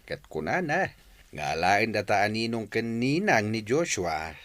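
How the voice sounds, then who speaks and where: A man's voice: lowish voice, very animated, unhurried, a bit bright, no room sound. An actor playing a cheerful middle-aged working father in an Ilocano-accented radio drama.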